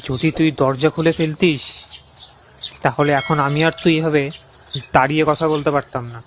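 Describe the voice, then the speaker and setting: A man's voice: on the high side, fairly even intonation, normal speed, noisy background, natural voice. A man playing an anxious, protective young villager who warns his friend urgently and insistently in a cartoon ghost story. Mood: anxious warning.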